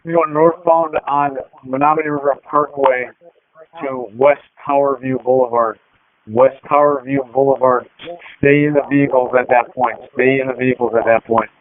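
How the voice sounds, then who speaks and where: A man's voice: medium pitch, steady tone, normal speed. Clipped male officer over narrow police radio. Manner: clipped, procedural. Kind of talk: terse police radio traffic. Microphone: two-way radio.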